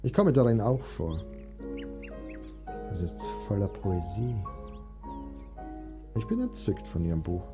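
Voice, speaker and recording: A man acting a sickly, melancholic and capricious young composer in a German radio play, theatrical, drifting between languor and excitement. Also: on the low side, heavily acted. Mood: dreamy, delighted. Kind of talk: German audio drama acting. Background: music.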